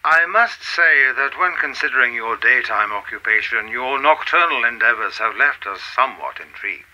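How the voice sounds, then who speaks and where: An actor playing a mysterious, coolly menacing official in a radio play, with a formal, measured, insinuating manner. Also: male voice, medium pitch, lively intonation, pushed and bright, lo-fi sound. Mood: knowing, condescending.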